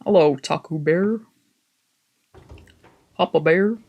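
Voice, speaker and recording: A streamer reading a visual novel aloud in a playful, expressive way, with casual asides. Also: medium pitch, takes it slowly, dull audio. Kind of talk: let's play voice acting with casual asides.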